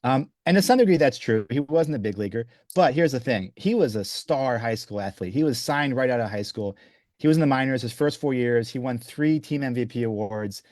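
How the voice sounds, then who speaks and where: A man's voice: mid-range voice, lively intonation, brisk, darkish tone, dry recording, studio quality. A man in his forties, raised in Belgium, answering interview questions about his book with eager, stop-start phrasing. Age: 40s. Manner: enthusiastic, restarts often, says "you know". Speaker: author guest.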